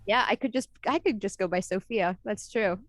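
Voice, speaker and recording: A woman's voice: mid-range voice, moderate pace, fairly bright tone. A woman from Italy with no Italian accent, a film composer answering interview questions in a chatty way, full of fillers and self-corrections.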